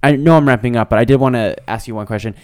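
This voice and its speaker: A man's voice: medium pitch, rapid-fire, clear, resonant tone, dry recording, clean studio sound. A man hosting a podcast, asking chatty, rambling questions full of fillers. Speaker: podcast host. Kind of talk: casual podcast conversation.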